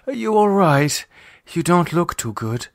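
A male reader acts out a concerned woman in an audiobook drama. The voice is lifted well up in pitch, caring and coaxing with a firm edge. The recording is dry. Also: big pitch swings, measured pace, gravelly, no echo, heavily acted. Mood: concerned, reassuring. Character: worried, caring young woman, firm but soothing.

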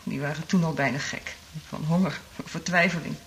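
An actress in a radio drama playing a traumatised woman survivor, half-mad from isolation, speaking in an anguished, halting, dramatic way. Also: sits low, steady tone, slight background noise, natural voice. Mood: haunted recounting.

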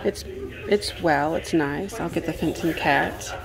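Lady vlogger browsing and chatting to camera, lowish voice, lots of fry, people talking around her. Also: measured pace, crowd noise, poor audio. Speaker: vlogger, antiques reseller. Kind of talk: casual shopping commentary, talking to camera while browsing. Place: house and garage at estate sales. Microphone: handheld phone or camera.

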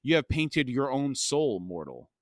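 A man voicing a cruel, ailing god in an audiobook-style reading, raspy and wheezing, with slow, imperious delivery. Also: medium pitch, big pitch swings, no echo, clean studio sound. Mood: darkly pleased.

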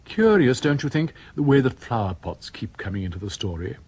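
An actor playing a genial, wry author who confides in listeners as a radio drama's narrator, with a husky voice. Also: male voice, medium pitch, very animated, brisk, darkish tone. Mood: wry, confiding. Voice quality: husky, breathy.

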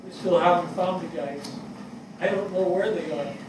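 An older man playing a grieving, bewildered fire captain in a staged dramatic reading, speaking plainly and haltingly. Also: sits high, recorded from a distance, lightly acted. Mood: bewildered grief. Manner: plain-spoken, halting, searching. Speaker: actor in a staged play reading. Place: small indoor theatre stage before an audience. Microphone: distant camera mic. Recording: echoey stage picked up from afar, noisy.

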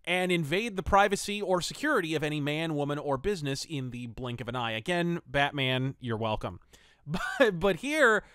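A man's voice: on the high side, animated, normal speed, fairly bright tone, smooth, no echo, studio quality. A man hosting a review podcast, with theatrical, wordy and wry semi-scripted commentary.

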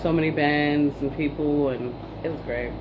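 Laid-back slangy woman, low and muffled. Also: steady tone, moderate pace. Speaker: vlogger. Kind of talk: casual chat. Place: small hotel room, indoors. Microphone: phone camera held by her partner, off to the side. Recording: dull, noisy phone recording.

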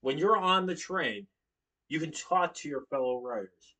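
A man's voice: a little high, lively intonation, unhurried, bright, forceful tone. A young man from Connecticut giving an informative class speech at home, standing and gesturing, sometimes correcting himself. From Connecticut, USA. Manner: standing, gesturing while speaking, occasional self-corrections. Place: living room at home, indoors. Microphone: webcam across the room. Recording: voice from a distance.

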